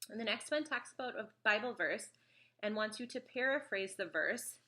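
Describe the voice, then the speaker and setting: A woman's voice: fairly high pitch, animated, moderate pace, pushed and bright. A woman in her thirties with a slight cold, casually reviewing a homeschool study guide, chatty with frequent ums. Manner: chatty, frequent ums, pages through a book. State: slight cold, sniffly, occasional cough.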